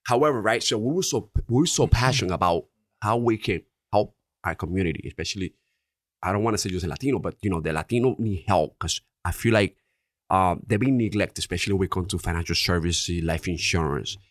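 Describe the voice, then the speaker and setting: Earnest Dominican-accented man, deep voice. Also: fast, no echo, studio quality. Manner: earnest, repeats "right?" often. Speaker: insurance agency owner. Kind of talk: podcast interview.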